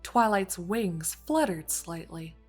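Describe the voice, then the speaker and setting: A woman's voice: fairly low pitch, expressive, takes it slowly, slightly rough, soft background music. A woman narrating a scripted story as its narrator, reading in prose between characters' dialogue.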